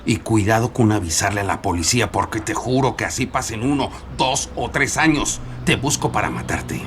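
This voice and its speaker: An actor playing a threatening, foul-mouthed Mexican kidnap gang boss in an audio drama. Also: male voice, lowish voice, normal speed, fairly bright tone, slight background noise, close to an everyday voice. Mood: cold menace. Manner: threatening, vulgar, commanding. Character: menacing male kidnap gang boss making ransom threats.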